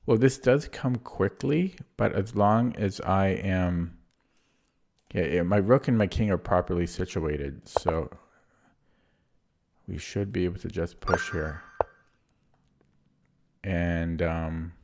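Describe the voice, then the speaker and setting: A man with a low, deep voice sounds hoarse and keeps clearing his throat. He streams chess live and mutters his way through moves. His talk is casual and unhurried. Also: noisy background. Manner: thinks aloud while playing, muttering over moves.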